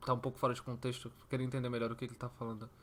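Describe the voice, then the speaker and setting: A man hosting a reaction video, making quick, casual, slangy comments. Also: mid-range voice, completely flat delivery.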